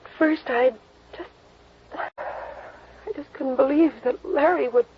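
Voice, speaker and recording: An actress playing a vulnerable, pleading woman in a classic radio melodrama, with halting, emotional delivery. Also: sits high, a little hiss or hum. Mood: sorrowful. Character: anguished young woman in trouble, pleading and vulnerable. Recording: muffled old radio recording.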